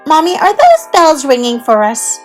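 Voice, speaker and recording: A woman's voice: sits high, wildly swooping pitch, normal speed, faint music behind, pitched above the performer's own voice. A woman voicing an eager, demanding little girl piglet in a storybook, theatrical and pleading. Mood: excited.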